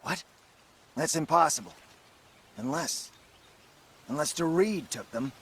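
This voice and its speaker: A man's voice: on the high side, animated, unhurried, a bit bright, a little hiss or hum. A man playing a pragmatic, beleaguered caravan boss in a video game, frank and plain-spoken. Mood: dismayed.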